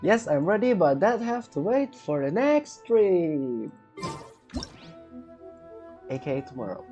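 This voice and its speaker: A woman's voice: lowish voice, very animated, slow, dark tone, muffled recording, soft background music. A woman livestreaming a game, casually muttering and thinking aloud as she plays. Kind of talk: casual live gameplay commentary.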